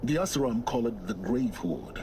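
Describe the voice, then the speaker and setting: An actor voicing a cool, cryptic mentor in a video game, with a measured, detached and slightly pedantic manner. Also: male voice, medium pitch, very animated, noisy background. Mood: cryptic, dry. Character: cool, cryptic male mentor speaking remotely to a young heroine. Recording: loud music over the voice.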